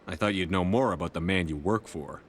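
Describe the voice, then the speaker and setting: Man acting a brisk, stern military commander. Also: deep voice, slight background noise, close to an everyday voice. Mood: businesslike. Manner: direct, firm. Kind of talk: video game voice acting.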